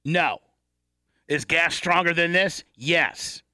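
A man's voice: fairly high pitch, slow pace, bright, forceful tone, dry recording, studio quality. A man hosting a casual group talk show, bantering in a blustering, defiant way and repeating himself.

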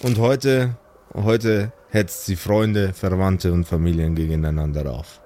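Man acting as a remorseful supervillain, low pitch, calm, slow, solemn, deliberate, improvised role-play drama. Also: slight background noise. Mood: solemn, weary. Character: weary, remorseful male supervillain Magma Man, calm and relaxed.

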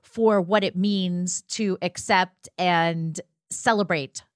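A woman speaking earnestly in a reflective group discussion, choosing her words deliberately as a podcast panelist. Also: lowish voice, fairly even intonation, dry recording, studio quality.

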